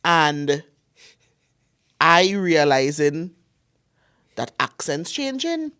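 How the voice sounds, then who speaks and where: A woman's voice: sits low, sing-song, very slow, a bit bright, smooth. A woman from Trinidad with a Trinidadian accent, a teacher explaining her point earnestly in a casual panel chat.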